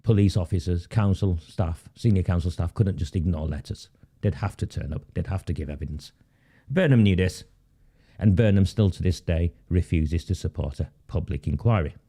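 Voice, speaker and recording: Deep dark-voiced man with a cold. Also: normal speed. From Oldham, England. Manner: indignant, repeats key points for emphasis. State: sniffly with a heavy cold. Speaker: independent campaigner presenting his channel. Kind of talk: emphatic video monologue. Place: at home.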